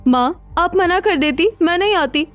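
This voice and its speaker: A woman plays a haughty young married sister-in-law in a cartoon. She nags and accuses in a very high-pitched, entitled voice over music. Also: lively intonation, unhurried. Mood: offended.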